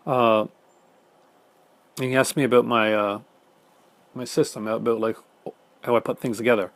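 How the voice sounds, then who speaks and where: A Canadian man talking fast and casually to the camera at home, with many fillers and restarts. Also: medium pitch, steady tone, no room sound. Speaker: film collector YouTuber. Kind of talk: casual chat reading viewer comments. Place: home room in front of film shelves.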